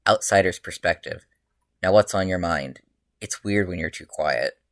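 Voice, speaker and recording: A reader as weary middle-aged man, deadpan hero partner, grumbling, very low pitch, dry recording, story reading. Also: moderate pace, dry recording. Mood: weary concern.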